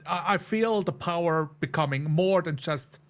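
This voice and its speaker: A man's voice: a little high, normal speed. A man who plays tabletop roleplaying games, casually talking through what his character does. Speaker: tabletop game player. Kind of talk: casual chat about a roleplaying game.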